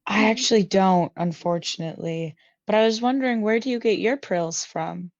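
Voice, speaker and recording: A woman's voice: medium pitch, normal speed, a little mellow, no room sound, highs cut off. A young woman chatting casually as a player in a tabletop roleplaying game. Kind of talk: casual tabletop game chat with in-character lines.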